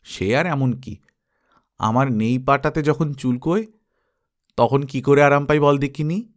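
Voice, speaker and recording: A man's voice: medium pitch, a little slow, natural voice. A man voicing a good-humoured older schoolteacher who tells odd tales in a storybook. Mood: matter-of-fact.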